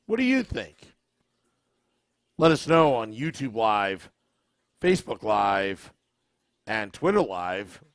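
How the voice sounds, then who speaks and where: Scratchy-voiced man hosting a slow podcast. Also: lowish voice, no room sound, studio quality. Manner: relaxed, unhurried, asks the audience questions. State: scratchy, worn voice. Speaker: podcast host. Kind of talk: live-streamed podcast chat, reading viewer comments.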